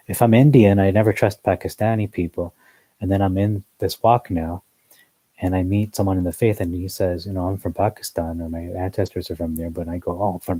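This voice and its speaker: A man's voice: low voice, mellow, breathy edge, no room sound, poor audio. A man giving a rambling, earnest religious commentary monologue, full of fillers and asides. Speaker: newsletter commentator.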